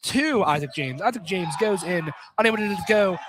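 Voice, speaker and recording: A man's voice: high-pitched, lively intonation, normal speed. A man giving live radio play-by-play of a basketball game, with casual, opinionated asides. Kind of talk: live radio sports commentary. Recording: noisy live gym broadcast.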